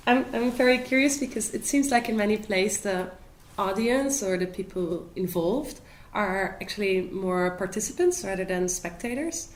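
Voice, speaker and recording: A woman's voice: mid-range voice, a little hiss or hum. Curious woman interviewer in an echoey room. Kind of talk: conversational interview.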